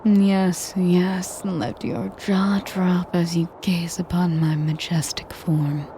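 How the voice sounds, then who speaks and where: Slow, breathy, haughty dragoness, acted by a woman. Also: on the low side, level tone, warm tone, slight background noise. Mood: proud, imperious. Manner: breathy, half-whispered, slow and menacing; pompous, condescending. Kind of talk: scripted audio roleplay monologue.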